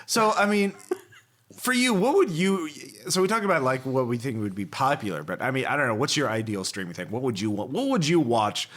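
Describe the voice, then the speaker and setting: A man's voice: medium pitch, expressive, fairly quick, fairly bright tone. A man hosting a casual group chat in a wry, teasing way. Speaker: podcast host.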